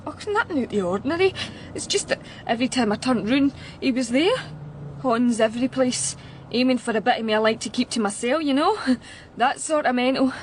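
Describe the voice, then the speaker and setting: An actress playing a shaken, chatty young woman musician with a Glasgow Scottish accent, talking in a halting, blunt, colloquial way in a radio drama. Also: on the high side, animated, a little hiss or hum, close to an everyday voice. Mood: wry, blunt. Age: young adult. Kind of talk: naturalistic radio drama acting.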